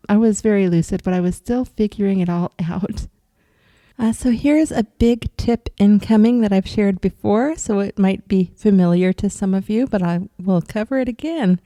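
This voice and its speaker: A woman from upstate New York with a cold, casually telling viewers about an experience in a rambling way, with ums and soft laughs. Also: medium pitch, no echo. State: has a cold. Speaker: vlogger recounting astral travel.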